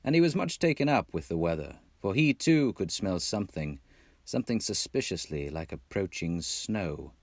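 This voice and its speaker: A man from Texas reading a storybook in a dramatic, measured way. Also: low-pitched, animated, no room sound. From Texas, United States. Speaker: amateur audiobook narrator. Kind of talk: expressive scripted storybook narration.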